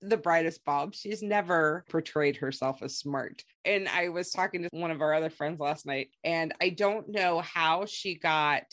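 A woman's voice: low-pitched, unhurried, few pauses, fairly bright tone. A woman hosting a podcast, chatting casually and gossipily as she recaps reality television. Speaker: podcast host.

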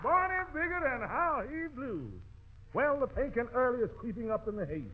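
Tinny high-voiced 1940s male radio announcer. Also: lively intonation, moderate pace, heavy background noise. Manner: slangy, rhyming hipster patter.

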